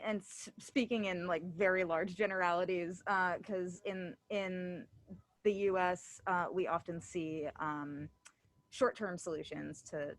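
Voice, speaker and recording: A woman interviewer with a slightly low voice, recorded dry and close. She talks casually and haltingly, often searching for her words. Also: no room sound. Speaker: interviewer and event host.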